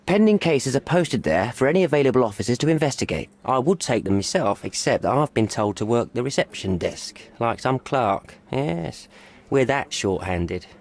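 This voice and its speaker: A man plays a put-upon desk policeman for a video game, chatty and wry. His mid-pitched voice bounces about expressively in an informal, affable way. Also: expressive, normal speed, close to an everyday voice. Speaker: police officer.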